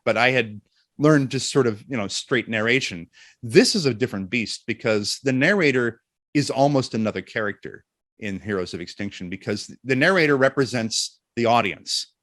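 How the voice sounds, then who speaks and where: A man's voice: mid-range voice, normal speed, a bit bright, no room sound, clean studio sound. A man talking conversationally as an interview guest, explaining his voice work thoughtfully. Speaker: voice actor and narrator, interview guest.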